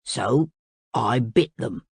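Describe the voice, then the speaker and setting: A woman voicing a sulky, greedy male crocodile in a storybook reading, blunt and grumbling, with her voice pushed lower. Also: expressive, extremely slow, creaky, a little mellow, breathy edge, no echo, clean studio sound, full character voice.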